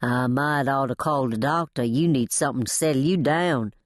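A woman's voice: extremely low pitch, moderate pace, no echo, studio quality. A woman voicing a motherly Southern housekeeper in an audiobook, folksy and coaxing, with a Southern US accent. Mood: soothing, coaxing.

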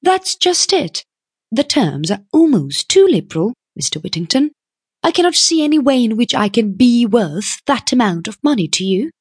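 A small, plucky young woman as a woman narrator puts her on in an audiobook. Her voice is lifted and very lively in pitch, recorded dry. She is direct, pert and sure of herself. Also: moderate pace, breathy edge, no room sound. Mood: firm. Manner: pert, direct, self-possessed. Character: small, plucky, sharp-witted young woman seeking work. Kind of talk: audiobook character voice.

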